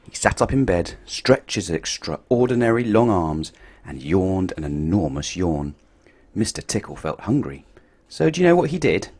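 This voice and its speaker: A man reading a picture book aloud to children, playful and expressive, swinging his voice up and down for effect. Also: normal speed, slightly rough. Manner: expressive, playful storytelling with big swings in pitch and loudness.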